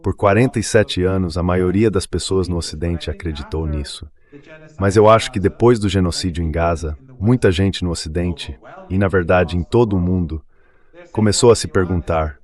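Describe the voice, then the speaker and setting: A man with a very low-pitched voice speaks as the guest in a political interview. It is a synthetic translated voice-over. The delivery is earnest and emphatic, stacking repeated phrases to make each point. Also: a little mellow. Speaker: interview guest, commentator and professor. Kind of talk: AI-read translated dub of political commentary.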